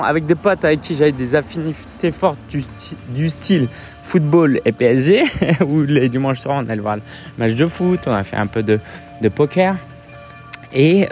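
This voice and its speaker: French guy in his 30s, chatty coach vlog, lots of ums, mid pitch, bit of music in the back. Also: a little mellow. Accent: French (France). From France. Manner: hesitant and chatty, full of fillers. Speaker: business coach. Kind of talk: casual vlog monologue.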